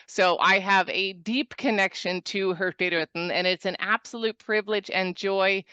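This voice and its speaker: Clear-voiced, informal woman presenting online. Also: mid-range voice, fairly even intonation, moderate pace, bright, forceful tone, no echo. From Norway. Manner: informal, enthusiastic, joking asides. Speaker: travel company presenter. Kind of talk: promotional virtual presentation. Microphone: video call.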